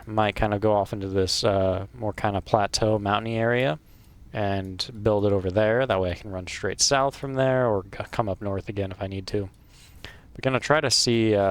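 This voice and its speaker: A man's voice: fairly low pitch, clean tone. A man hosting a let's play, casually commenting on his game in a hesitant, rambling way full of ums and uhs, recorded on a wired mic at a home gaming setup. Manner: hesitant, filler-heavy, unhurried rambling. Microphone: wired microphone.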